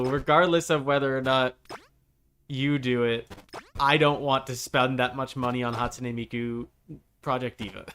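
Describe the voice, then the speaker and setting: A man's voice: mid-range voice, a bit bright, a little hiss or hum. A man casually chatting on a gaming live stream, rambling along in a wry, joking way. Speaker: game streamer.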